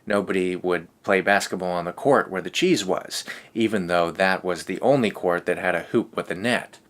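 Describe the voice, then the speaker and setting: A man with a low voice hosts a read-aloud for kids. He reads in a casual, easygoing way and drops in chatty asides. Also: normal speed, fairly bright tone. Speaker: host of a children's read-aloud show.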